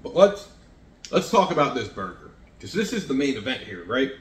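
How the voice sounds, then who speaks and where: A man's voice: mid-range voice, sing-song, normal speed. A man in his thirties chatting casually to camera in his home kitchen as he cooks and taste-tests, rambling and self-deprecating. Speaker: home cooking vlogger. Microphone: fixed camera across the counter. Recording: echoey kitchen.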